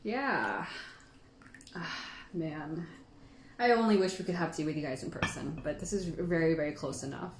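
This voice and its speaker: A woman's voice: sits low, animated, moderate pace, long pauses, noisy background. Sentimental woman livestreamer in an echoey room. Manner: sentimental, chatty, giggly. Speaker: livestream host and tea teacher. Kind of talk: casual livestream chat.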